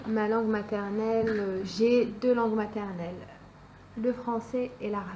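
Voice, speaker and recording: A woman's voice: medium pitch, steady tone, normal speed, dark tone, muffled recording, slight background noise. A woman in her twenties from France, giving short plain interview answers with occasional fillers. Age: 20s.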